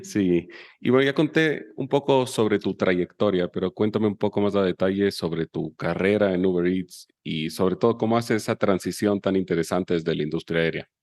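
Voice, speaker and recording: A man's voice: low-pitched, normal speed, a little mellow, dry recording, clean studio sound. A Chilean man with a Chilean accent hosting a podcast, reading an upbeat scripted intro and then chatting with a guest. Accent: Chilean Spanish. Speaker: podcast host.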